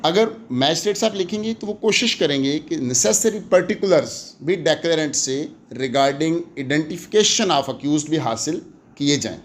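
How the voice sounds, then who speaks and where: A man with a Pakistani accent lecturing in an explanatory way, deliberate and emphatic, switching between languages as he teaches. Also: fairly high pitch, expressive, slight background noise. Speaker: law lecturer.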